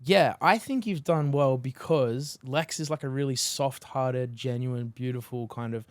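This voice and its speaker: A man's voice: mid-range voice, measured pace, no room sound. A man hosting a podcast interview, chatting casually and rambling with lots of filler words. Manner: casual and rambling, many fillers, laughs between phrases. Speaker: podcast host.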